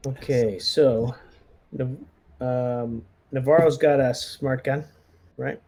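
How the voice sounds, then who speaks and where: A man's voice: medium pitch, steady tone, slow, vocal fry, darkish tone. A man chatting casually as a player in a tabletop roleplaying game, organising the squad.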